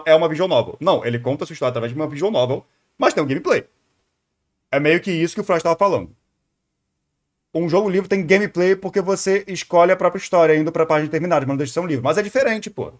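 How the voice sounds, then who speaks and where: Homem brasileiro falando bem rápido, informal. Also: male voice, fairly high pitch. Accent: Brazilian. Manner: informal, slangy, argumentative. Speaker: streamer reacting to a video. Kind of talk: casual reaction commentary.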